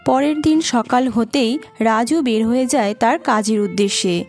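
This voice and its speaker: A woman's voice: on the high side, normal speed. A woman reading scripted narration for a story. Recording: music behind the voice.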